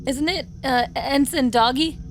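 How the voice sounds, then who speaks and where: A woman's voice: high voice, a little slow, a little hiss or hum. A woman chatting casually as a tabletop game player, playful and teasing. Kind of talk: casual chat.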